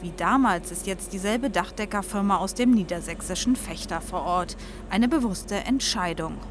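A woman narrating a news report as a measured scripted voice-over. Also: medium pitch, noisy background.

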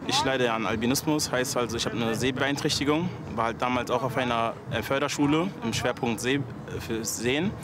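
A man's voice: medium pitch, fairly quick, few pauses. A man in his twenties, an event agency founder, talking loosely in a casual interview with many fillers. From Germany. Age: 20s. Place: outdoors at a stadium. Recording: outdoor street noise behind.

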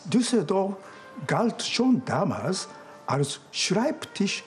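A man in his sixties from Japan with a Japanese accent, speaking deliberately and politely in an interview as a non-native speaker. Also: high-pitched, sing-song. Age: 60s. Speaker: club chairman being interviewed. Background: music.

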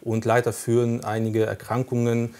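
A man's voice: mid-range voice, monotone, normal speed, darkish tone. A man whose first language is Russian, answering interview questions as a police recruitment officer, explaining step by step with frequent hesitations.

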